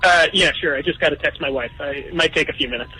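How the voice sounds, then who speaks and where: A man's voice: a little high, quick pace, bright, forceful tone, slightly rough, low-quality recording. A man calling in to a radio show, speaking hesitantly with stammering restarts. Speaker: caller. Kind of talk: telling his story on a radio call-in.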